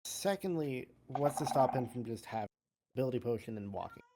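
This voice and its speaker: A player voicing a calm, thoughtful adventurer in improvised game roleplay, explaining things in a measured, slightly hesitant way. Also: mid-range voice, noisy background, lightly acted. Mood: measured, doubtful.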